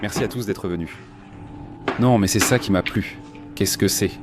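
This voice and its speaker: A man vlogging his travels, chatting with locals and thanking them often as he samples food and drink. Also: on the low side. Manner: chatty, curious, quick to thank people. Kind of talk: casual travel vlog chat. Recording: music playing under the voice. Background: background music.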